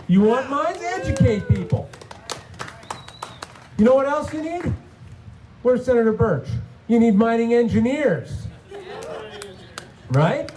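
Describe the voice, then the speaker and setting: High-voiced senator firing rhetorical questions. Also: male voice, darkish tone, slight background noise, rough recording. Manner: punchy rhetorical questions, emphatic. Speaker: state senator. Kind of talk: rally speech.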